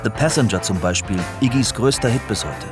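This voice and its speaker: Male narrator, deep voice, wry colloquial storytelling, scripted documentary, strong music bed. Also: lively intonation, fairly quick. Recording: studio voice over prominent music.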